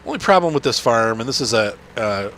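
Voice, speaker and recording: A man's voice: mid-range voice, animated, fairly quick, a bit bright. Middle-aged male host, nasal with a cold, rambling casual game commentary, faint noise behind. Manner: rambling, chatty, many fillers and restarts. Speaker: gaming channel host. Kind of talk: casual commentary while playing.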